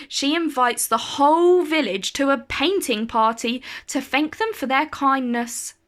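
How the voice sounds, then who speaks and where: A woman reading a children's picture book aloud as a storyteller, with deliberate phrasing and dramatic emphasis. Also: high voice, sharp tone.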